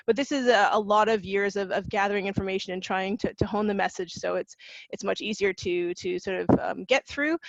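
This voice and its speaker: A woman's voice: medium pitch, no echo, lo-fi sound. A Canadian woman presenting on a video call, conversational, with frequent fillers and restarts. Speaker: university recruitment officer. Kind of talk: webinar presentation.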